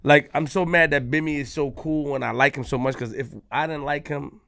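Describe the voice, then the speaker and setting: A man co-hosting a podcast, talking in loud, animated, streetwise banter full of restarts. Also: a little high, brisk, gravelly, no echo, studio quality. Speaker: podcast co-host. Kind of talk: casual chat.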